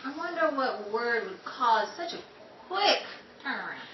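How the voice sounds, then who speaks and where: A woman's voice: fairly high pitch, big pitch swings, measured pace, dull audio. A woman playing a scatterbrained, coaxing young gal pal in a 1930s screwball comedy radio play, with a playful, wheedling, theatrical delivery. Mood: breezy, oblivious. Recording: echoey, distant, noisy with crowd behind.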